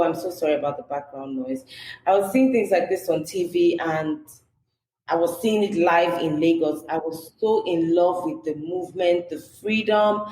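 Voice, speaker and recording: A young Nigerian woman with a Nigerian accent, chatting as a podcast guest, full of "you know" fillers. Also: on the low side. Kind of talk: casual interview chat. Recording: echoey room with background noise.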